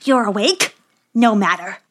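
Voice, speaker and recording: A woman voicing a wicked witch who poses as an old woman, speaking slowly and menacingly. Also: mid-range voice, fairly bright tone, a little hiss or hum, natural voice. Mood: gloating.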